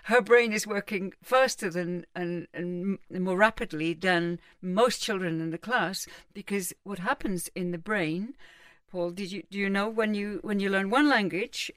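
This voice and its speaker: A woman's voice: mid-range voice, unhurried, a bit bright, no room sound, studio quality, distant mic. A middle-aged woman from England, speaking as a guest psychotherapist in a casual interview and explaining things emphatically with examples.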